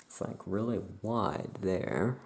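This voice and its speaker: A soft-spoken ASMR host musing in a hushed, self-deprecating way while chatting through a craft task. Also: low voice, big pitch swings, slow pace, gravelly, slight background noise.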